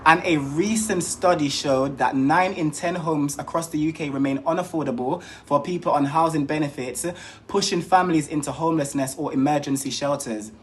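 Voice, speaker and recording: A man's voice: fairly high pitch, normal speed, slight background noise. A man giving a scripted community update as a reporter, upbeat and informative.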